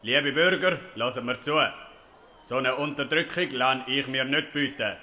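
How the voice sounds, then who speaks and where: A man's voice: medium pitch, measured pace, noisy background, lightly acted. An actor playing a stubborn, boastful folk-hero father with a Swiss German accent in a comic audio play. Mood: defiant. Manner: defiant, declamatory.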